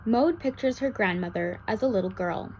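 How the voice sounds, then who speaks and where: A woman's voice: mid-range voice, moderate pace, darkish tone, poor audio. Female reader for children's bedtime storybook narration, mid-range voice, some background noise.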